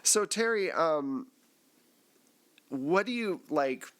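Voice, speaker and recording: A man's voice: a little high, expressive, slow, fairly bright tone. A man hosting a casual group discussion on a podcast, asking questions and commenting in a conversational way. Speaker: host and game master.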